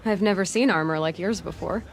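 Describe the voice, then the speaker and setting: A woman's voice: fairly low pitch, fairly quick, natural voice. Direct, curious young huntress played by a woman. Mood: direct, self-assured. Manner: probing, self-assured. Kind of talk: video game cutscene acting.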